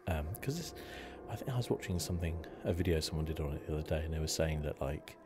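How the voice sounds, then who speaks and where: A soft-spoken man giving hesitant, rambling ASMR commentary as host of a video game playthrough. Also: very deep voice, animated, quick pace, noisy background.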